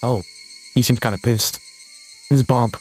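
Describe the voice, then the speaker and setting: A woman using a voice-changer filter that lowers her voice into a man's, reacting with amusement. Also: fairly even intonation, normal speed, a little mellow, soft background music. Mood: amused. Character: grown man.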